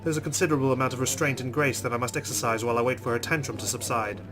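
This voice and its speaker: A man's voice: medium pitch, racing, over music. Man reading game narration dramatically. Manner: expressive reading with reactions. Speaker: let's player reading a visual novel. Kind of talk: dramatic first-person narration.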